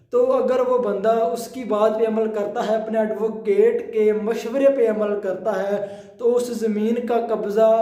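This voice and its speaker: Male, Pakistani accent, strikingly high pitch, mellow tone, deliberate explanatory lecturing, English terms mixed in, roomy echo. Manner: deliberate, repeats and rephrases points. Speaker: law lecturer. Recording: roomy, echoing sound.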